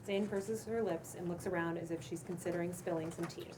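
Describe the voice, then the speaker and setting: A woman's voice: fairly low pitch, steady tone, fairly quick. A woman reading stage directions as scripted narration at a casual table read. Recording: distant room mic, clear background noise.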